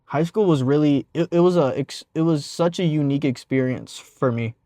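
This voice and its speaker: A man's voice: fairly high pitch, normal speed, dark tone, no room sound, clean studio sound. A young man with a stutter telling a casual personal story as a vlogger, with many fillers and restarts.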